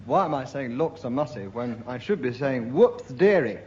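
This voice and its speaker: A man's voice: medium pitch, lively intonation, normal speed, a little mellow. A man improvising comic banter as a panellist on a comedy show. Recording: dull lo-fi radio recording, faint noise.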